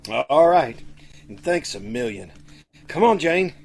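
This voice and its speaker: Bumbling young newlywed husband, acted by a man, swooping animated delivery, hesitant, doting, radio comedy. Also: a little high, unhurried, very noisy. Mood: coaxing, eager. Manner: hesitant, blurting, cajoling. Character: nervous, doting, bumbling young rich bridegroom. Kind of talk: old-time radio comedy acting.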